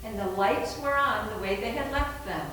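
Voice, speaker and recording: A woman's voice: on the high side, moderate pace, sharp tone. A woman giving a dramatic scripted narration of a horror story. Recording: very echoey room, distant, faint noise.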